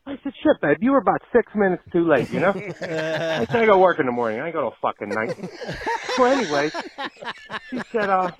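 A man's voice: a little high, sing-song, brisk, pauses often, a crowd in the background. A forty-one-year-old man telling a crude, comic, casual anecdote as a listener putting a question to a show, on slightly lo-fi message audio.

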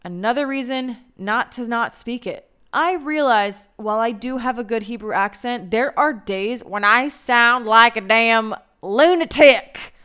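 A woman's voice: fairly high pitch, unhurried, hardly any pauses, fairly bright tone, clear, resonant tone. A young American woman giving casual advice in a blunt, candid way, swearing freely. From United States. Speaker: advice vlogger.

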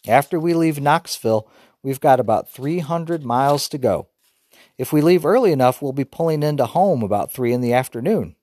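Male reader putting on a methodical mother, higher and very animated, with a dry close sound. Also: dry recording, close to an everyday voice. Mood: planning. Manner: brisk, careful, list-like planning. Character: organized mother reading out a trip plan.

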